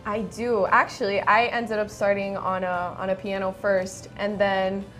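A woman speaks as the host of a casual interview. Her voice sits at an average pitch and she talks in a chatty, encouraging way. Music plays faintly behind her. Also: flat delivery, unhurried, a bit bright.

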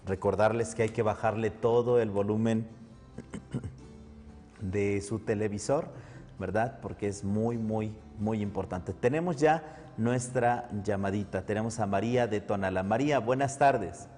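A man hosting a live religious call-in show, courteous and gentle, tagging his lines with little questions. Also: lowish voice, measured pace, long reverb tail, soft background music.

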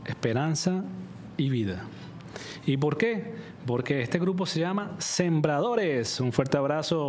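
A man's voice: a little high, darkish tone, a little hiss or hum. A man hosting a religious talk show, upbeat as he prays and introduces the guest. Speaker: host.

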